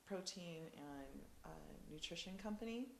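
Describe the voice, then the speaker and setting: Low gravelly American woman, chatty. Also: unhurried, roomy sound, a little hiss or hum, poor audio. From United States. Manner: chatty, many ums, lively when telling stories. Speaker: interviewee, rider's partner. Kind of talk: casual interview chat.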